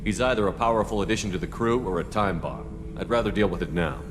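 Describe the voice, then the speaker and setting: An actor playing a military starship commander in a video game, speaking in a firm, direct, controlled manner. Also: male voice, on the low side, fast talker, over music, natural voice. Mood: businesslike. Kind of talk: video game character acting. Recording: long reverb, clearly noisy backdrop.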